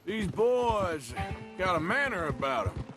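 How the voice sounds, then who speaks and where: A man's voice: sits high, sing-song, a little slow. An actor playing a cautious, dry-humored male outlaw in a game cutscene, with a Western drawl. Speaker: game character. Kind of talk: cutscene voice acting. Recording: game audio with music under it.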